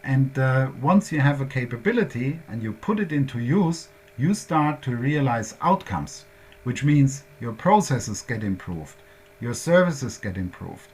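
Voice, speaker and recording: A man's voice: medium pitch, lively intonation, a little slow, darkish tone, breathy edge. A man with a German accent presenting at a workshop, explaining concepts step by step in a methodical way.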